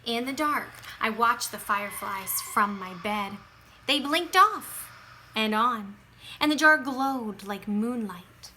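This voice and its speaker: A woman reading a children's picture book aloud in an expressive, dramatic way, stretching words for emphasis and moving between hushed phrases and bursts. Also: a little high, slow pace, a little hiss or hum.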